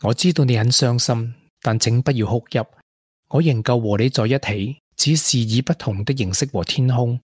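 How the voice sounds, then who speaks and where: An actor playing a supportive, sensible young boyfriend in a Hong Kong Cantonese radio drama. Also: male voice, medium pitch, normal speed, slightly rough, dry recording, clean studio sound, lightly acted. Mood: reading poems solemnly.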